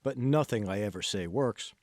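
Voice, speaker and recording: A man's voice: fairly low pitch, moderate pace, darkish tone, dry recording, clean studio sound, voice pushed down, lightly acted. A man voicing a discouraged, self-pitying college computer whiz in an audiobook, moaning and reluctant. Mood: glum, defeated. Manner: moaning, glum, reluctant short answers. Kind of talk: audiobook character dialogue.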